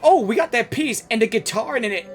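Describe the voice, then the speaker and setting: A woman's voice: on the high side, animated, brisk, faint music behind. A woman game streamer giving casual, informal reaction commentary and talking back to the characters.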